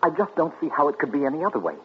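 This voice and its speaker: A shaken, halting young nephew. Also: male voice, on the high side, very animated, a little hiss or hum. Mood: anxious. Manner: hesitant, halting, earnest. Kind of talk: 1940s radio acting. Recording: muffled 1940s radio broadcast.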